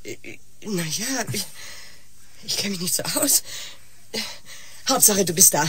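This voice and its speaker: A woman's voice: medium pitch, lively intonation, takes it slowly, fairly bright tone, a little hiss or hum. Tender, fussing mother. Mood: anxious, tender. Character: fussing, anxious, tender middle-aged mother. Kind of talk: German science-fiction radio drama acting.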